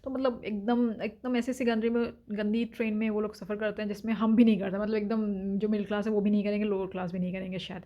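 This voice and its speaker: A woman's voice: mid-range voice, little pitch movement, fast, few pauses, muffled recording. A woman from India talking frankly in a casual vlog, with lots of filler sounds and opinions. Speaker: vlogger. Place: home in Denmark.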